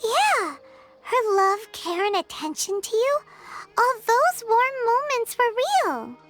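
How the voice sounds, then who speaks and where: Squeaky, very high little fairy girl. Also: big pitch swings, slow pace, a bit bright, faint music behind, full character voice. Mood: gentle, earnest. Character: small floating fairy girl companion, kind and earnest. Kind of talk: English video game voice acting.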